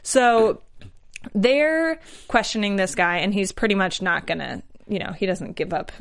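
A woman's voice: medium pitch, a little slow. A woman co-hosts a recap in a casual, conversational way. She leans on "like" as she retells scenes. She often slips into characters' lines as quotes. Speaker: host recapping a TV episode. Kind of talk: casual chat.

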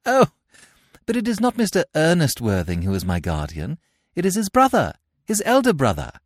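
A man's voice: a little high, huge pitch swings, moderate pace, husky, no echo, voice pushed up, heavily acted. A man voicing Cecily, a naive, well-bred young woman who talks in a girlish, eager, prim way. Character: young upper-class woman, sweetly naive ward.

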